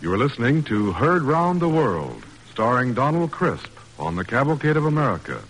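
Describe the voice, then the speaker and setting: A man's voice: mid-range voice, very animated, moderate pace, a bit bright, rough recording. A man announcing in a scripted 1940s radio style. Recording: old radio broadcast recording. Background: music.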